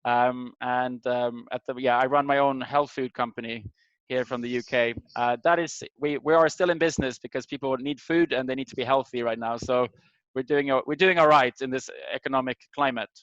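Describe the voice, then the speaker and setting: A man's voice: mid-range voice, fairly even intonation, a little fast, fairly bright tone, no room sound. A middle-aged man from Sweden informally hosting an online group meeting, searching for his words as he welcomes and introduces people on a video call. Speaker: host of an online community meeting. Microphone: video call microphone. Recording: dull, lo-fi video call audio.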